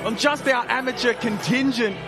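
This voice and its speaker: High-voiced excitable fight commentator, shouting over a roaring crowd and music. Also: male voice, moderate pace. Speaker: play-by-play commentator. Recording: loud crowd and music behind.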